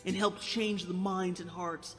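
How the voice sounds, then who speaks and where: A reaction video host with an animated, theatrical, mocking and profane manner, switching between commentary and dramatic reading. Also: mid-range voice, unhurried, soft background music. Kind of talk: reaction commentary mixed with dramatic read-aloud of a comic.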